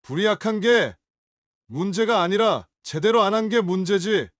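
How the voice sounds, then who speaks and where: An actor playing a drunk, contemptuous adult son in an audio drama, talking in curt, scornful demands. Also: male voice, sits high, lively intonation, normal speed, dry recording, studio quality.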